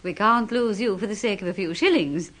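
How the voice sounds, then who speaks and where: An actress playing a kindly, fussing landlady with an English accent in a British radio drama, homely, solicitous and gently insistent. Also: medium pitch, a little fast, close to an everyday voice. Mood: fond, concerned.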